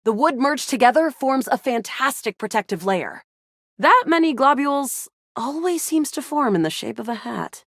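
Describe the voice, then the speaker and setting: High, animated sci-fi game heroine. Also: female voice, moderate pace, no echo, clean studio sound, exaggerated voice. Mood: confident, chatty. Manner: animated, dramatic, playful banter. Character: feisty, excitable young woman sci-fi resistance fighter and adventurer. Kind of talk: video game character voice acting.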